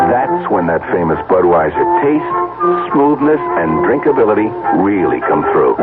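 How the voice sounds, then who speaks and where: Low, lively American radio ad man. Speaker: commercial announcer. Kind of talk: vintage radio beer advertisement. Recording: narrow-band vintage radio, loud music.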